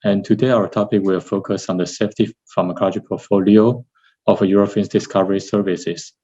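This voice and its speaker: A man with a Taiwanese accent presents in a low, dark voice. He talks haltingly, often correcting himself mid-phrase, as a lab director would in an online seminar. The video-call sound is a little dull and lo-fi. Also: flat delivery. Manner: halting, with repeated and corrected words. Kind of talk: webinar slide presentation. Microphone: video call, seated at computer. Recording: dull, lo-fi webinar audio.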